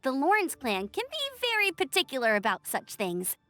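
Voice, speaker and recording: A woman's voice: high-pitched, huge pitch swings, moderate pace, no room sound, full character voice. A woman playing a perky, upbeat young scout in a video game, friendly and encouraging. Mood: cheerful, encouraging. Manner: bright-spirited, encouraging, friendly. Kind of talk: scripted video game character acting.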